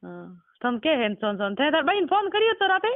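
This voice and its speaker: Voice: high voice, very animated, brisk, no echo. A person with a Rajasthani accent speaking informally on a phone call, asking insistent, repeated questions. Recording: muffled band-limited phone call recording.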